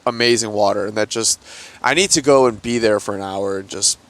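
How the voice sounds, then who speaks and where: A man's voice: lowish voice, a bit bright. Rambling man in casual chat. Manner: rambling, halting, lots of fillers. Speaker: podcast co-host.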